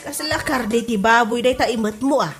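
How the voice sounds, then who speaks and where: Curious village mother acted by a woman, Ilocano accent, raised pitch, admiring, comedy drama. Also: normal speed, loud music behind the voice, close to an everyday voice. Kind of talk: Filipino comedy audio drama.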